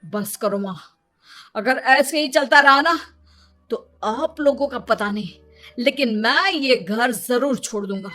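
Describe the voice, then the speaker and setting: A high, bright cartoon voice for a weary young husband, played by a woman. He pleads and complains in slow, deliberate phrases, exasperated with his household. Music plays underneath. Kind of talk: Hindi children's cartoon dialogue.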